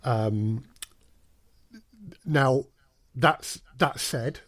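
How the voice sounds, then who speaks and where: A man's voice: mid-range voice, drawn-out words, fairly bright tone, no echo. A man speaking as an audio drama producer in an interview, with hesitant, stop-start phrasing. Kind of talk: interview conversation.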